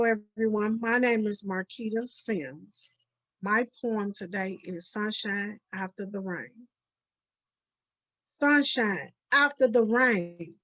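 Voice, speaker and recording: A woman's voice: fairly high pitch, a bit bright, dry recording. A middle-aged woman reciting her own poem aloud. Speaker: poet. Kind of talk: spoken poetry recital.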